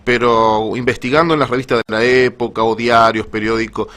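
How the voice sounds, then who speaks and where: A man's voice: medium pitch, fairly even intonation, a little fast, a bit bright. A man with an Argentine accent hosting a conversational radio interview, agreeable and often repeating short affirmations. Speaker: radio host interviewing a guest.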